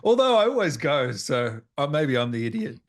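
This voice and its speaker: A man's voice: a little high, sing-song, moderate pace. Informal male gaming interview host. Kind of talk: casual gaming interview chat.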